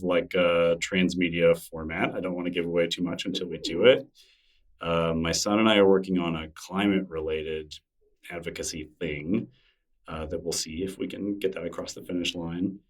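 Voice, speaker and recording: A man's voice: deep voice, far from the mic. A middle-aged man, a guest in an interview, talking in a thoughtful, rambling way with many fillers and restarts. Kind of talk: casual interview conversation.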